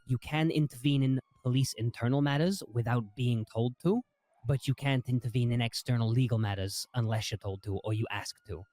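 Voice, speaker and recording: A man in a roleplay meeting, playing an ex-prosecutor who lays out legal advice in a measured, lecturing way with frequent restarts. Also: mid-range voice, darkish tone, no echo. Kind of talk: in-character roleplay meeting talk.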